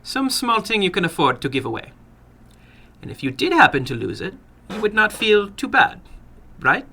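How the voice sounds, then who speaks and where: A man voicing a funny little foreign gambler in a dramatic story, soft and toneless, slowly coaxing in courteous broken English with quiet menace. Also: high-pitched, sing-song, gravelly, voice pushed up, exaggerated voice. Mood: smoothly persuasive.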